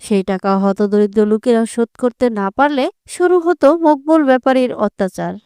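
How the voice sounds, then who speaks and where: Casting: female story narrator, high clear voice, scripted delivery. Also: lively intonation, a little fast, warm tone, no echo, studio quality.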